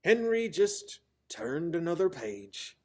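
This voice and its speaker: A man reading a children's storybook aloud in a theatrical, expressive style. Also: on the high side, slow. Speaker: storybook reader. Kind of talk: dramatic children's storybook narration.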